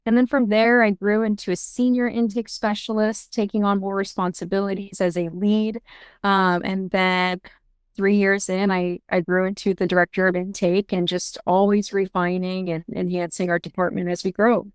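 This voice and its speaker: A young woman from Seattle talks through her career and her family in relaxed, rambling sentences full of ums and false starts. Her voice is smooth and clear. It sounds close and dry, with no room around it. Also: medium pitch, normal speed, no echo. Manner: chatty, many ums and restarts. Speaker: guest, intake director at a law firm. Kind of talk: casual interview answers.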